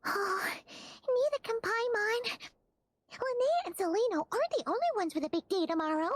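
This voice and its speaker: A woman voicing a small, excitable, childlike floating fairy companion in a video game. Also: extremely high pitch, moderate pace. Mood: chirpy, anxious.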